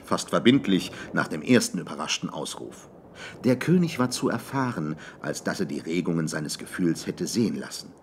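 A man's voice: sits low, a little hiss or hum. A man narrating an adventure audiobook in a dramatic, scripted storytelling style.

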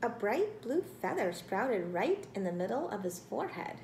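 A woman's voice: medium pitch, very animated, normal speed. A middle-aged woman reading a storybook aloud to children expressively, recorded in a small room. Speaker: storybook reader. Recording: roomy, some background noise.

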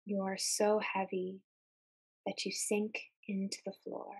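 A woman guides a meditation in a soft, husky-breathy voice. Her delivery is very slow and level, with little rise or fall in pitch. She speaks calmly and soothingly, pausing between short phrases. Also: rough recording. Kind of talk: guided meditation, calm scripted narration.

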